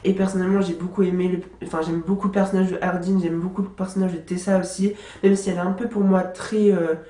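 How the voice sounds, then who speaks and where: Young woman vlogger, congested from a cold, warm mellow tone, chatty book talk, roomy echo. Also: lowish voice, level tone, quick pace. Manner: chatty, many fillers and restarts. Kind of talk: casual book review monologue. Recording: echoey room.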